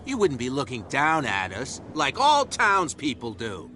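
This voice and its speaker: A man's voice: mid-range voice, huge pitch swings, a little slow, pushed and bright, a little hiss or hum, heavily acted. A man voicing a talkative, conspiratorial out-of-work drunk in a video game. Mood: chatty. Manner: chatty, conspiratorial. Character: jumpy, talkative male out-of-work island drunk.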